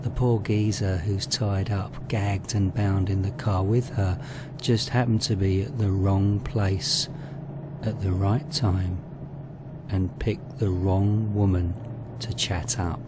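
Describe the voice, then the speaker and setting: A man presenting a horror audio drama as narrator, in an ominous, deliberate, theatrical style. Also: low voice, flat delivery, background noise.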